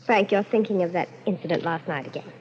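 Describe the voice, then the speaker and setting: An actress playing a devoted, anxious new bride in an old-time radio drama, coaxing and soothing with insistent endearments. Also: female voice, fairly low pitch, expressive, quick pace, darkish tone, lightly acted. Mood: soothing, firm. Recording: dull, lo-fi, faintly noisy vintage radio recording.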